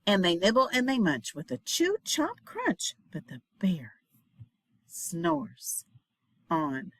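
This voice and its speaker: A woman reads a children's storybook at an unhurried pace. Her delivery is expressive and dramatic, sinking into hushed refrains. The sound is dry, with little room to it. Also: mid-range voice, husky, dry recording. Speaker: storybook reader, teacher. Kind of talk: expressive children's picture book read-aloud.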